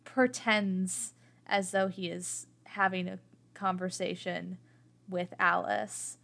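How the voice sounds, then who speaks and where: A woman playing a tabletop game, improvising her character's actions as she thinks aloud in a halting way. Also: lowish voice, fairly even intonation, creaky, fairly bright tone.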